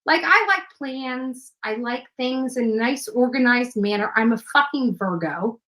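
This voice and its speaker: A woman's voice: on the high side, lively intonation, a little slow, fairly bright tone. A woman talking casually in a clip, blunt and emphatic, and swearing freely. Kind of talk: casual talk in a played clip.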